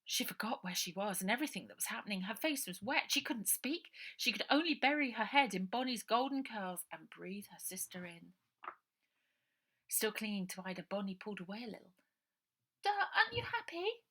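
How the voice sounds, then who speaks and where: A woman reading her novel aloud as dramatic, expressive and suspenseful storybook narration. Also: medium pitch, a little fast. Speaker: author reading own novel.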